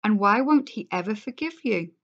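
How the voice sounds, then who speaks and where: Woman reader as curious young boy, excitable, higher, storybook. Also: moderate pace, lo-fi sound. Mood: eager.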